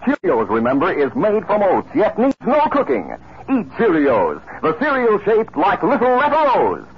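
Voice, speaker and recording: A man's voice: sits high, huge pitch swings, normal speed, slight background noise. A man with an American accent, narrating dramatically as a 1950s radio announcer. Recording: muffled 1950s radio recording. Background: music.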